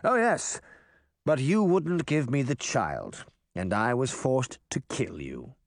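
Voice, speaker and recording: A man voicing a menacing magic-mirror villain who mocks in a slow, theatrical way. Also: medium pitch, sing-song, no echo, studio quality. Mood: coldly taunting.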